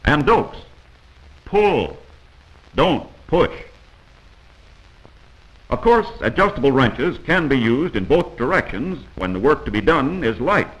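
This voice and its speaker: A man's voice: mid-range voice, sing-song, slow pace, pauses often, fairly bright tone, breathy edge, rough recording. An American man narrating a 1940s instructional film in a commanding, corrective manner, on a vintage film soundtrack.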